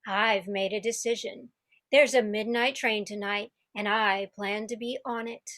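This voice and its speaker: A woman playing a wistful but resolute small-town young woman in a radio play, speaking in a measured way. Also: medium pitch, steady tone, fairly bright tone, natural voice. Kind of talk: community theatre radio play acting. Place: home, over video call.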